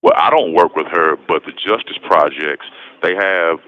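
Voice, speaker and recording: A man's voice: sits low, lively intonation, normal speed. An American man giving a casual personal account over a muffled, noisy phone line. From west Texas, United States. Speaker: interview guest, prisoner calling in. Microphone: telephone call from prison.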